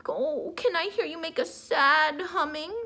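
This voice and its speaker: High-voiced woman reading a storybook theatrically. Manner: theatrical, expressive, drawn-out emphasis. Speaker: teacher reading aloud to children. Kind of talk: dramatic storybook read-aloud with asides to listeners.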